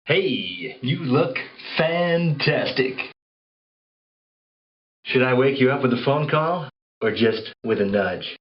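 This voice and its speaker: A man's voice: medium pitch, lively intonation, slow pace, pauses often, noisy background. An actor playing a man in comic, acted dialogue from a video game cutscene. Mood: flirty. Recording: dull lo-fi game audio over music.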